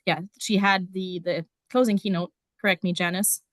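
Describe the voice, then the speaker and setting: A woman's voice: on the low side, steady tone, moderate pace, a bit bright, dry recording. A woman from Germany, a district numeracy teacher, explaining casually with frequent ums during a conference talk over a video call. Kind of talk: conference presentation.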